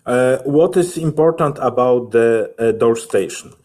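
A man in his thirties with a Polish accent, giving a halting, methodical software tutorial as a technical specialist. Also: mid-range voice. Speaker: technical specialist presenting a webinar.

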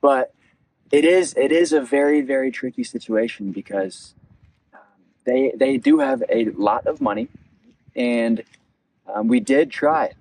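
Young man, lively pitch, halting and earnest. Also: gravelly, poor audio. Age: 20s. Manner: earnest, halting, repeating phrases. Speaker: interviewer, local election candidate. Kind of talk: campaign interview. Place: outdoors at a beach picnic table.

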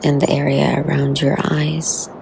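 A woman leading a guided meditation in a soothing, unhurried way, with soft, breathy phrase endings and long pauses. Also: low-pitched, completely flat delivery, noisy background.

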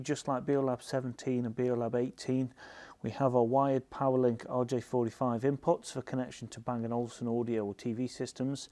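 A man's voice: mid-range voice, dark tone. Enthusiastic British man presenting a product. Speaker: audio shop presenter. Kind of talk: product review narration to camera.

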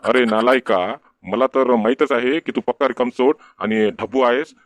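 A man's voice: mid-range voice, normal speed, a little hiss or hum, lightly acted. A man voicing an exasperated, scolding village schoolteacher in a comic cartoon. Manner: scolding, questioning, name-calling.

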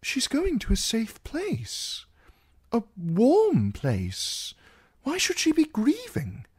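A narrator voicing a frightened, anxious young man who pleads in a high voice. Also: huge pitch swings, takes it slowly, husky, full character voice. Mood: pleading. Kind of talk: audiobook character voice.

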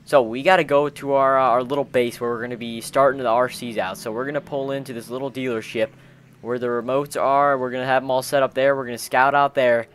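A man with an average-pitched voice commentates casually on a game he is playing. He talks loosely and chattily with slang, like he is hanging out with buddies. Faint vehicle noise runs behind him. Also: level tone, fast, a bit bright. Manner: chatty, informal, peppered with bro-style slang. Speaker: gaming video host. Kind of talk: casual roleplay commentary while playing a video game with friends.

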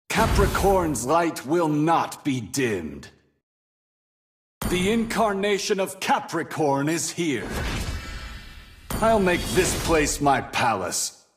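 Grandiose, proud star warrior. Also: male voice, a little high, sing-song, slow, pauses often, full character voice. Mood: proud, commanding. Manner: grandiose, theatrical, boastful declarations. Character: proud, domineering male Capricorn star warrior, boastful conqueror. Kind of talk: video game hero voice lines. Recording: game music and battle effects behind.

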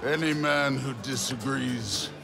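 A man acting as a big, surly, drunken Norse thunder god in a video game, with a gravelly, brooding delivery. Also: mid-range voice, fairly even intonation, takes it slowly. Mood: drunken, boastful. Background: music.

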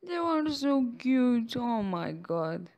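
A woman's voice: on the high side, big pitch swings, a little mellow. A woman who is not a native English speaker, doing an affectionate ASMR girlfriend roleplay addressed straight to the listener. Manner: slow, affectionate, with frequent sighs and giggles.

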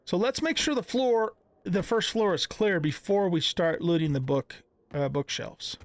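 A man's voice: high-pitched, a little slow, dry recording. A middle-aged man giving casual gameplay commentary, thinking aloud in a conversational way. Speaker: gaming video host.

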